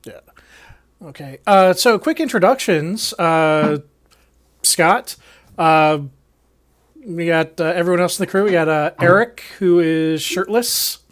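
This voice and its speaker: Slightly high-voiced man running a tabletop game. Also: slow pace. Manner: hesitant, many "uh" fillers. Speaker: dungeon master. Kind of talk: casual table talk, introducing players.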